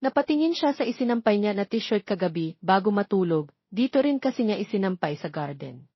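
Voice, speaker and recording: A woman's voice: mid-range voice, expressive, a little fast, husky. A woman reading a scripted romance story as its narrator. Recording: roomy, slightly dull sound.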